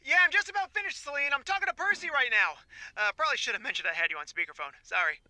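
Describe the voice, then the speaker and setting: Man to play a friendly everyman boyfriend for an audio play, high voice, a bit awkward and hesitant. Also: expressive, racing, pushed and bright, no echo, heavily acted. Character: ordinary friendly young guy, slightly awkward boyfriend. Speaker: writer, director and actor of the audio play. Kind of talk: indie audio drama acting.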